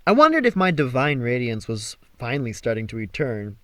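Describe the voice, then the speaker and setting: Male audiobook reader, expressive, dramatic, lively swinging intonation, dry sound, scripted novel narration. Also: medium pitch, brisk, dry recording.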